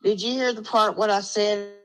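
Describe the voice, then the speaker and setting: A woman's voice: mid-range voice, steady tone, normal speed, fairly bright tone, low-quality recording. A woman on a group video call, speaking in an upset, plaintive way over a connection that cuts in and out. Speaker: panelist on a live stream call.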